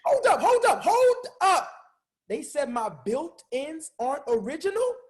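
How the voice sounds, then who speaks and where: A man's voice: extremely high pitch, very animated, measured pace, full character voice. An actor playing a vain, hot-tempered, aggrieved male rapper in a comedy table read. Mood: indignant.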